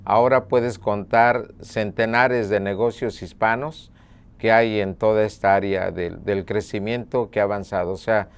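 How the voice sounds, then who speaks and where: A middle-aged man from Mexico, a local media director, telling his story in an earnest, measured documentary interview. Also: lowish voice, fairly even intonation, smooth. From Mexico (Puebla). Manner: earnest, measured, with hesitation fillers.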